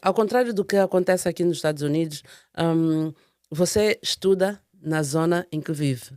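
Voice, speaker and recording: A woman's voice: low voice, normal speed, mellow, no room sound, studio quality. A woman hosting an interview, chatty and curious, asking many short questions. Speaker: talk show host. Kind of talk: casual interview conversation.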